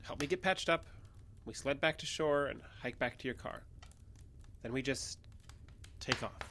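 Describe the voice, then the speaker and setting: An actress playing a guarded, anxious young person in an audio drama, speaking in an earnest, urgent manner. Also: female voice, extremely low pitch, moderate pace, background noise. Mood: guarded, subdued. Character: guarded, anxious young person who knows dark secrets. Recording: dramatic music under the dialogue.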